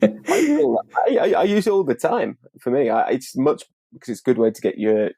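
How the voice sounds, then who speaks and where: A man's voice: mid-range voice, wildly swooping pitch, normal speed, gravelly, dark tone, slightly rough, no room sound. A man speaking as a podcast guest in casual discussion, earnest in manner. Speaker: podcast guest, motorsport editor.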